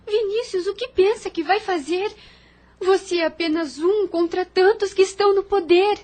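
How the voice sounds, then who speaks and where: A woman's voice: very high voice, normal speed, darkish tone. A woman playing an anxious, devout young sister. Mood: worried. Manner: anxious, questioning. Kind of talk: audio drama acting.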